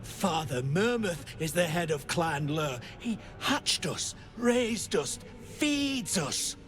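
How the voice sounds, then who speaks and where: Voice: mid-range voice, sing-song, slow, a bit bright, faint music behind. An actor voicing an earnest, devoted young giant spider in a video game. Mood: devout.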